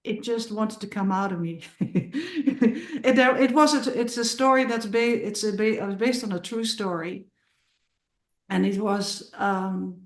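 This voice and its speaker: A woman's voice: medium pitch, measured pace. A middle-aged woman from the Netherlands with a Dutch accent, talking about her film on a podcast, full of restarts and repeated words. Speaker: filmmaker and musician guest. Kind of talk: casual podcast interview. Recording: roomy sounding.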